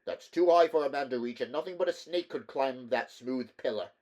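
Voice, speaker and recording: A man voicing a shrewd male inquisitor who reasons a case aloud briskly. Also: medium pitch, mellow, natural voice. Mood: reasoning.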